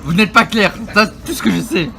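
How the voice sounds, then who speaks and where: A man's voice: high-pitched, vocal fry, bright, forceful tone. A male vlogger hosting a joking mock interview, teasing and playful, in a busy public place. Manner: playful, teasing, quick to laugh.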